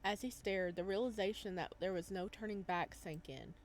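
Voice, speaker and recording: Female let's-play host, mid-pitched, chatty and playful, switching into calm read-aloud narration. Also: moderate pace, slight background noise. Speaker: gaming video host. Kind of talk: casual let's-play commentary, reading game text aloud.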